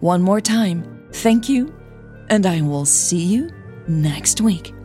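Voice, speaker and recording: A woman's voice: fairly low pitch, animated, takes it slowly, warm tone, soft background music. A woman narrating a scripted audio drama as its storyteller and podcast host.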